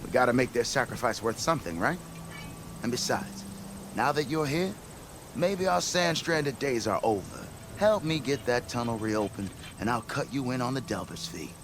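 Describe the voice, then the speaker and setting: An actor playing a cheerful, boastful expedition leader in a video game, florid and flattering, breaking into sudden laughs. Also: male voice, mid-range voice, moderate pace, background noise, lightly acted. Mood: coaxing. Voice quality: theatrical, florid. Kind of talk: video game cutscene acting.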